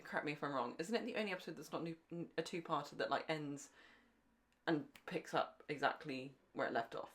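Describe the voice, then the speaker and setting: A woman's voice: deep voice, fairly quick. A woman co-hosting a podcast in casual, conversational talk. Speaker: podcast co-host. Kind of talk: casual chat.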